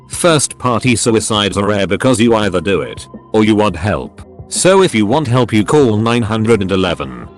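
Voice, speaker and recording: A man narrates written stories for an online audience. His voice sits fairly low and moves through a lively range of pitch, with faint music playing behind the reading. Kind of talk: scripted narration of forum stories.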